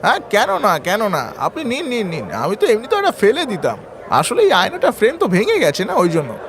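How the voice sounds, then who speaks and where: A man voicing a friendly, eager scrap dealer in a cartoon fairy tale, excitable and repeating himself. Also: sits high, brisk, background noise. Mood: eager, obliging.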